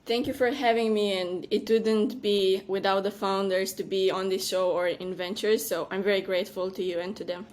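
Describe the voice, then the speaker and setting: A woman from Romania in her thirties, a venture partner answering interview questions in a measured, earnest way with frequent ums. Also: medium pitch, fairly even intonation, a little mellow. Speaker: venture capital partner, guest.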